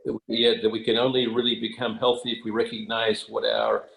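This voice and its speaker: Man, creaky voice, flat intonation, earnest discussion participant, roomy echo. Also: medium pitch, brisk. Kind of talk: reflective comment in a group discussion. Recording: roomy, echoing sound.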